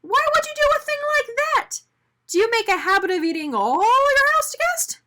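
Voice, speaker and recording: Woman voicing an excitable, very high-pitched little doughnut guy. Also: normal speed, a little hiss or hum, full character voice. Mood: shocked, shrieking. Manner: exclamatory, dramatic. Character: excitable, indignant little male doughnut, chirpy and dramatic.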